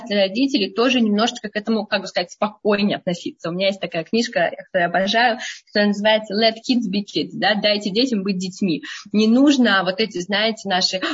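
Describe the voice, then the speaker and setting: A woman speaker answering audience questions in a live online talk, lively and quick, with frequent asides. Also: fairly high pitch, breathy edge, lo-fi sound.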